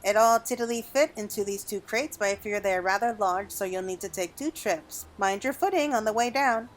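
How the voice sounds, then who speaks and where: A woman's voice: mid-range voice, normal speed, close to an everyday voice. A woman voicing a cheery, folksy little helper automaton in a video game, eager to help. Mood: cheery, helpful. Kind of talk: video game dialogue read aloud. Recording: background music under the voice.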